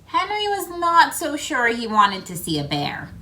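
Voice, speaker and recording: A woman reading a picture book aloud to children, with expressive emphasis and chatty asides addressed to the listeners. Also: high voice, a little slow. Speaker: read-aloud host for children.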